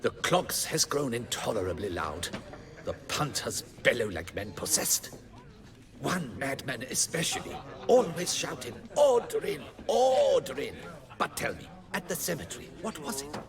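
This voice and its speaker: A man's voice: medium pitch, sing-song, measured pace, long reverb tail, crowd noise. An actor playing a weary, fussy man in a video game, speaking in a theatrical, florid way. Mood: relieved, complaining. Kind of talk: video game dialogue acting.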